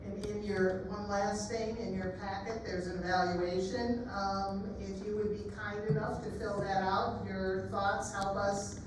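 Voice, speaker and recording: A woman's voice: mid-range voice, flat delivery, measured pace, few pauses. A woman organizing the event, politely giving brief closing announcements in a library meeting room. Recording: very echoey room from far off, noisy.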